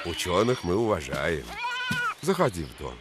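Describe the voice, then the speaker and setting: A man voicing a hospitable, dignified steppe elder in a cartoon, unhurried in manner. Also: sits low, big pitch swings, very noisy. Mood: welcoming. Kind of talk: cartoon voice acting.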